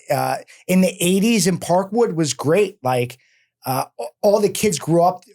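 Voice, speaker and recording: Philly guy in his 40s on a podcast, high energy, rambles, lots of "like" and "right?". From Philadelphia. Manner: energetic, rambling, filler-heavy. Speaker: guest. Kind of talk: casual interview talk. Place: podcast studio.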